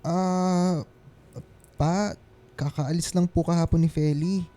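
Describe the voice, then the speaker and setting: A man playing a gentle, earnest husband and father around forty in a radio drama. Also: a little high, steady tone, takes it slowly. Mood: apologetic.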